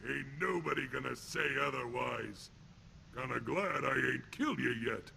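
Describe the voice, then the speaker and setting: Gravelly, brutish alien gangster thug. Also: male voice, medium pitch, moderate pace, pushed and bright, a little hiss or hum, full character voice. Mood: smug, gruff. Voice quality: gravelly, rough. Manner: crude street-gangster slang, taunting and blunt. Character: brutish, sneering male alien thug and ex-convict enforcer. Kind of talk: video game character acting.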